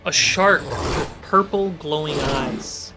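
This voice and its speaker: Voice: medium pitch, slow, rough recording. A game master and show host talking in the style of a tabletop fantasy game. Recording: background music under voice. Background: background music.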